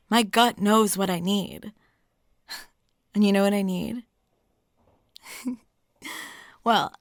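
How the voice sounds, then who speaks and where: Woman acting as a cute flirty waitress, giggly n chatty, kinda coy, mid-pitched voice, very dry recording. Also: gravelly, no room sound. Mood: flirty, earnest. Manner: giggly, chatty, coy, trailing into filler questions. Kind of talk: intimate flirtatious roleplay audio.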